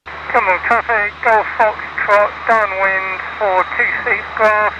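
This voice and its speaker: Man, high voice, clipped aviation calls, noisy aircraft radio. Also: fairly even intonation, slow. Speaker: pilot in radio traffic.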